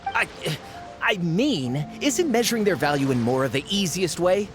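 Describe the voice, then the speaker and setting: A man's voice: sits high, sing-song, moderate pace. A man voicing a greedy, pompous male archaeologist who blusters and gets defensive. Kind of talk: game voice acting. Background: game music.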